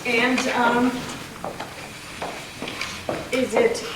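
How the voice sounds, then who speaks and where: A woman's voice: fairly high pitch, little pitch movement, extremely slow. Sex: woman. Role: director handing out parts. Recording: distant, echoey, noisy. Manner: hesitant, polite, many fillers. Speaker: director casting the play. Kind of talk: casual organizing talk. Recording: distant mic, very echoey room, background noise.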